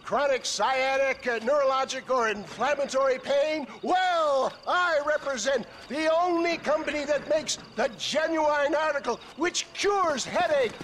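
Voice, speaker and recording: A man's voice: extremely high pitch, measured pace, few pauses, a bit bright, a little hiss or hum. An actor playing an aging, pompous snake-oil salesman in a western game, florid, theatrical and wheedling. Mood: grand sales pitch. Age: older. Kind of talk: theatrical video game acting.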